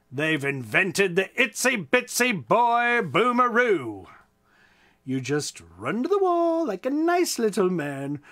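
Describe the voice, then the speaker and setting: A man's voice: fairly high pitch, fairly bright tone, heavily acted. Man putting on a commanding, reassuring military chief.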